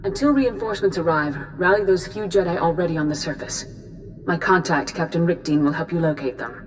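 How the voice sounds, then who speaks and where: A woman playing a stern, grave Jedi grand master in a video game, measured and authoritative. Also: fairly low pitch, animated, a little hiss or hum, natural voice, poor audio. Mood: solemn, commanding. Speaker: military and spiritual leader. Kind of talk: dramatic scripted game acting. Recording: music behind the voice.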